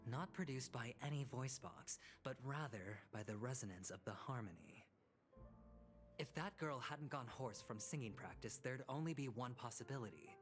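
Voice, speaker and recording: A suave gambler from a video game, acted by a man, smooth and sly. He schemes with playful, theatrical flair over clearly audible background music. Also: medium pitch, animated, normal speed, lightly acted. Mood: musing.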